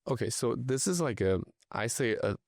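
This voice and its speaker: A man's voice: medium pitch, lively intonation, moderate pace, vocal fry, dry recording, clean studio sound. A middle-aged man from Taiwan, a luxury travel advisor speaking as an interview guest in a casual, hesitant way, with many fillers and restarts.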